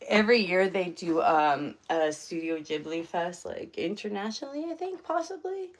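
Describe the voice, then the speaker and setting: Low-voiced chatty woman streaming. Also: expressive, moderate pace, slight background noise. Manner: rambling, chatty, many fillers. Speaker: livestream host. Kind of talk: casual live stream chat. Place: home room.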